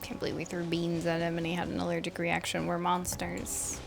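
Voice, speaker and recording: A woman streamer giving casual game commentary in a playful, excitable, slangy way. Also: on the low side, a little fast, vocal fry, noisy background. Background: game music.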